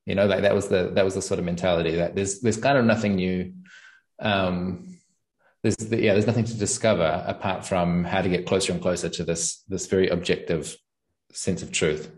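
A young man, talking casually and thoughtfully as an interview guest, often pausing with ums and small laughs. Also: low-pitched, fairly even intonation. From New Zealand. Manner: thoughtful, searching for words, frequent ums and laughs. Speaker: podcast guest and channel host.

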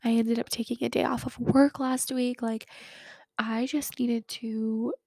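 A woman's voice: a little high, level tone, a little slow, creaky, dark tone, no room sound. A young woman in her twenties hosting a casual chat podcast, sounding nasal as she gets over a cold, chatty and full of fillers. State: sick, recovering from a cold. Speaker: podcast host.

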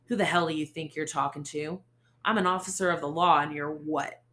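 A woman voicing a gruff, bullying male cop. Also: a little high, steady tone, unhurried, bright, forceful tone, heavily acted. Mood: hostile. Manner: barking, hostile. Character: gruff aggressive male small-town detective.

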